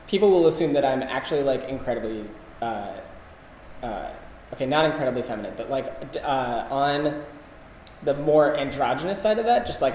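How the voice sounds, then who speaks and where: A man co-hosting a casual podcast chat, talking in a halting way and often interrupting himself. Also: mid-range voice, darkish tone. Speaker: podcast co-host. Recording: roomy, long echo, background hiss.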